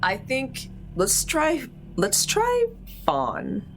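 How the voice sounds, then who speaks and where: A woman's voice: sits high, wildly swooping pitch, extremely slow, background noise. A woman chatting casually as a player in a tabletop roleplaying game.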